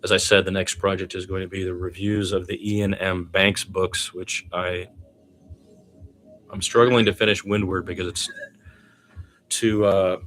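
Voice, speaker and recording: A man's voice: low-pitched, level tone, measured pace, long pauses, a bit bright, faint music behind. A man doing informal solo basketball play-by-play commentary, conversational and given to chatty asides.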